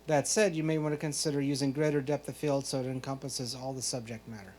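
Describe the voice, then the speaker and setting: A man working as a photo competition judge, reading out prepared critiques in a measured, explanatory way. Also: mid-range voice, little pitch movement, a little mellow. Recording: clear background noise, hum.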